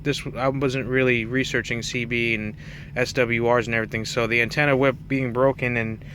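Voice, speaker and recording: A man's voice: mid-range voice, little pitch movement, a little fast, fairly bright tone, a little hiss or hum. A man talking in a casual, rambling, self-effacing way, like an unscripted hobby vlog by a truck driver. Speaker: truck driver and CB radio hobbyist presenting his setup.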